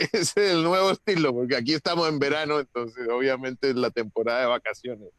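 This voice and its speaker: A man's voice: mid-range voice, fairly bright tone, no echo. A man chatting casually on a video call, telling anecdotes and laughing as he talks. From Chile. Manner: chatty anecdote-teller, laughs while talking. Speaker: conference participant. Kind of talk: casual chat.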